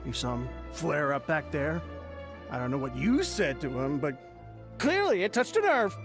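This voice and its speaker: A man's voice: fairly high pitch, very animated, creaky. An actor playing a tipsy, rueful, nervous man who slurs, halts and trails off in a video game. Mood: uneasy warning. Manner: halting, mumbling, trailing off. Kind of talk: English video game voice acting. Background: background music.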